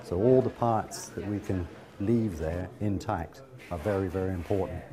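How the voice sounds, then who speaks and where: A man's voice: deep voice, moderate pace, crowd noise. A man tutoring a stonework course, explaining on site with emphatic, vivid phrasing.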